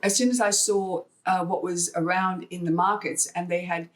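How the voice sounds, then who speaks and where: A woman's voice: on the low side, steady tone, moderate pace. Chatty woman telling stories. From Hong Kong. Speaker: podcast guest, artist and wildlife fundraiser. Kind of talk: conversational storytelling interview.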